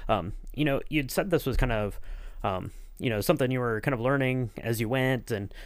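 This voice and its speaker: Man, medium pitch, podcast interviewer, casual, agreeable, hesitant rambling delivery, very dry recording. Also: normal speed, no room sound.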